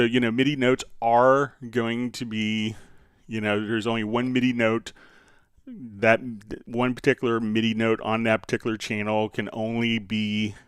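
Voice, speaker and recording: An American man talks casually as the host of an online tutorial stream. His voice sits fairly low and is smooth and clear. He is courteous and a bit rambling, recorded up close with a dry sound. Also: no echo. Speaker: live stream host, music software specialist. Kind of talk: casual tutorial live stream talk, answering viewer questions.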